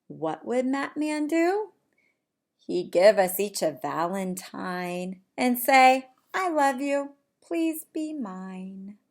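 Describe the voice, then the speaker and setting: A woman's voice: medium pitch, gravelly. A woman preschool teacher leading young children through a simple lesson, explaining step by step and asking encouraging questions. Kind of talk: read-aloud and shape activity lesson for young children.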